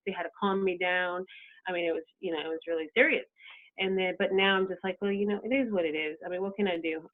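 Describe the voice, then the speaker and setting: A woman podcast host from the United States, chatting casually and rambling with many restarts. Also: medium pitch. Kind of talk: casual chat. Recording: tinny phone-like line.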